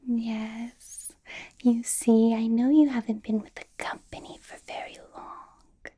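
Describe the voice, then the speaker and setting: A woman performs a female vampire boss in an ASMR roleplay, whispering in a breathy, hushed voice. She speaks slowly and deliberately, doting on the listener with possessive sweetness. A controlling menace sits under the soft, mellow tone. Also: a little high, flat delivery. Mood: smooth, coaxing. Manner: breathy, slow whispering with soft little laughs.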